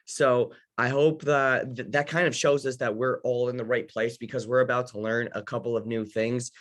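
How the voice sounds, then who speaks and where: A man's voice: mid-range voice, fairly even intonation, moderate pace, a bit bright. A young man from Ukraine teaching a casual live lesson for beginner investors, talking straight to his audience. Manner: casual, talks to the audience, many fillers and restarts. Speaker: host of an investing workshop.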